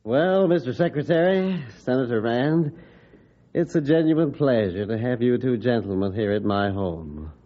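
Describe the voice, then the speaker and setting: An actor playing a genial, proud state governor with hearty formality in an old-time radio drama. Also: male voice, medium pitch, unhurried, natural voice. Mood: hearty, reassuring. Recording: muffled old radio recording.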